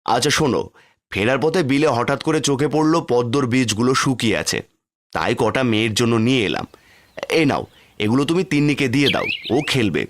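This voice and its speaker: A man's voice: medium pitch, lively intonation, fairly quick, natural voice. A man voicing a poor, mild village husband and father in a cartoon fairy tale, placating and self-pitying. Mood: resigned, soothing.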